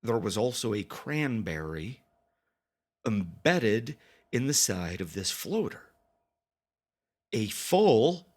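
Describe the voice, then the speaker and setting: A man telling a first-person story as a dramatic confession, with deliberate pauses and mock-grave, theatrical emphasis. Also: mid-range voice. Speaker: storytelling podcast narrator.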